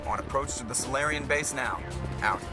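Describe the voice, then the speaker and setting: An actor playing a military spaceship pilot in a video game, giving brisk reports to his commander. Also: medium pitch, over loud music, lightly acted. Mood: businesslike. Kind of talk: video game dramatic acting.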